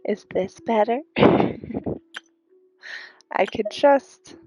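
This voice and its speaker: A woman's voice: on the high side, extremely slow, warm tone, no echo, dull audio. A congested woman chatting casually and wryly to viewers as she live-streams a game. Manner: playful, wry, chatty asides to viewers. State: congested, breathing heavily. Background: game music.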